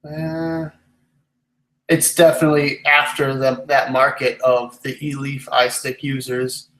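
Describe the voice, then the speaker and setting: A man's voice: medium pitch, little pitch movement, measured pace, sharp tone. Echoey young man chatting on a livestream. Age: 20s. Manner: rambling, thinking aloud. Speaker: live stream host, vape product reviewer. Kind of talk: casual live stream chat, answering viewer questions.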